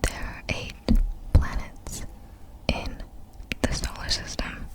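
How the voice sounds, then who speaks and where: Slow whispering ASMR woman. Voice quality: breathy, unvoiced whisper. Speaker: ASMR video creator. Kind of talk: ASMR whispering, slowly reading out facts.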